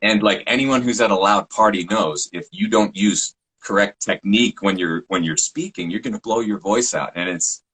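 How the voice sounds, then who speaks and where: A man with a low voice, speaking as a guest in a relaxed interview, reflective and with trained projection. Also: normal speed, few pauses, slightly rough, poor audio. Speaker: podcast host and guest. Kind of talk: casual interview talk.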